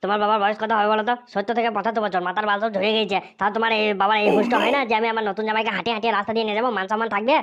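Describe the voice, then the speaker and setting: A woman's voice: medium pitch, level tone, rapid-fire, hardly any pauses, fairly bright tone, dull audio, natural voice. A woman voicing a touchy, rude, indignant young bridegroom in a comedic cartoon. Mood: scolding.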